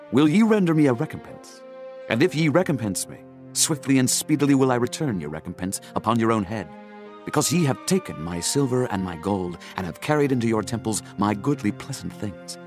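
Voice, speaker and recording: A man narrating a dramatized scripture audiobook in a solemn, declamatory, measured manner. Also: mid-range voice.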